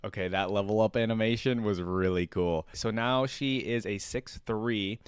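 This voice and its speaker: A man's voice: on the low side, moderate pace, clean tone, dry recording, studio quality. A man hosting a casual reaction video, talking enthusiastically and rambling with many fillers.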